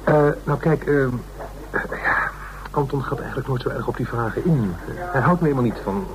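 A man's voice: medium pitch, lively intonation, normal speed, over music, lightly acted, poor audio. An actor playing a fussy, earnest man in a Dutch radio crime serial, hesitant and stammering, with a prim manner. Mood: hesitant, evasive.

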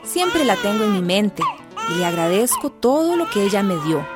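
Woman playing nostalgic lady narrator in a radio play, soft reflective telling, music behind. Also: mid-range voice, very animated, moderate pace, lightly acted. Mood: nostalgic, tender. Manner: reflective, tender. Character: tender grown woman fondly remembering her village childhood.

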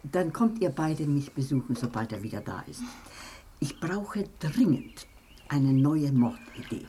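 A woman's voice: low voice, measured pace, slight background noise. An actress playing a chatty, motherly and matter-of-fact woman crime novelist in a German radio drama. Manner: conversational, animated, matter-of-fact.

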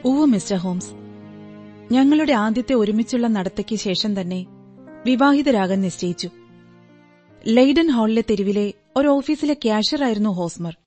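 A woman's voice: fairly high pitch, lively intonation, normal speed, long pauses, mellow, natural voice. A woman acting in a radio drama as an earnest, trusting young client, politely recounting her story in detail. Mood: earnest, troubled. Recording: radio drama with background music. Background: music.

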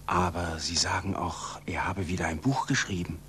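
Deep-voiced deferential servant. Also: male voice, fairly even intonation, normal speed, sharp tone. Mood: secretive. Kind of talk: 1940s German radio play acting. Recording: noisy 1940s radio recording.

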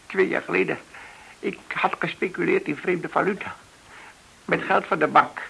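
Tense, stammering cornered banker. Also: male voice, sits high, gravelly, sharp tone, heavily acted, poor audio. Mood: desperate confessing. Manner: halting, anxious, stammering. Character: frightened, cornered male banker pleading innocence. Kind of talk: radio drama acting. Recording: hissy old radio play recording.

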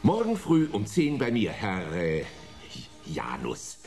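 A man playing a brisk, officious, slightly flustered executive in a German radio play. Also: lowish voice, wildly swooping pitch, darkish tone, soft background music, full character voice.

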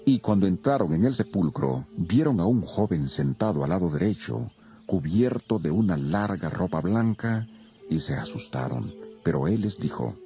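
A man's voice: sits low, expressive, normal speed, gravelly, dark tone, highs cut off. A man narrating scripture as a solemn, dramatized scripted reading. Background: music.

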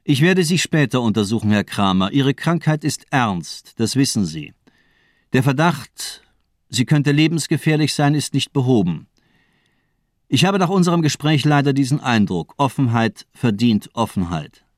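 A man's voice: mid-range voice, big pitch swings, moderate pace, dry recording, clean studio sound, natural voice. A man playing a coolly mocking, menacing doctor in a German radio drama, speaking with polite, articulate condescension. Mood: falsely considerate. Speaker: actor in a crime radio play.

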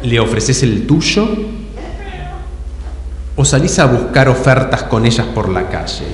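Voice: mid-range voice, lively intonation, measured pace, a crowd in the background. A performer with a Rioplatense accent narrating improvised stage comedy, teasing and emphatic while posing choices. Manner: teasing, emphatic, repeats prompts. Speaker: improv game narrator and player. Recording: echoey hall, clear background noise.

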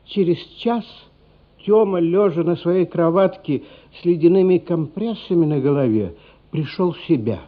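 A man narrating a literary radio play in an expressive, dramatic, deliberately phrased way. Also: on the high side. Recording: dull lo-fi radio play, music underneath. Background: music.

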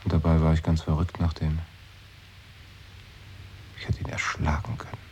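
A man's voice: very deep voice, completely flat delivery, normal speed, a little mellow, a little hiss or hum. An actor in a radio play, playing a brooding man who muses aloud and often sinks into a whisper. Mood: wistful. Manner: musing, often drops to a whisper. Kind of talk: 1980s radio play acting.

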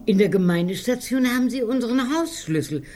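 A woman's voice: a little high, animated, a little fast, dark tone, slight background noise, natural voice. An actress in a radio play voicing a practical but anxious woman, plain-spoken and somewhat fretful with her friends. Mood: doubtful.

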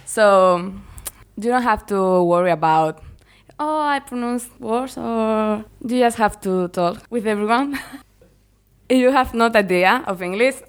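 A young woman whose first language is Spanish, speaking halting learner English in a casual interview, with many fillers and frequent laughs. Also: a little high, clean tone.

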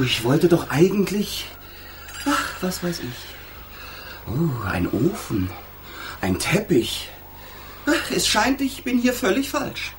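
A man playing a boastful, flighty wind spirit in a German children's radio play, blustery and sing-song, slipping into breathy murmurs. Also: a little high. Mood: scatterbrained, muddled.

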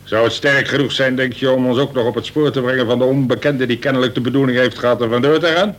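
A man playing an older prison governor, a colonel who speaks in a measured, formal and wry way, in a Dutch radio play. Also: steady tone, natural voice. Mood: wry authority. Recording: noisy old radio recording with rumble.

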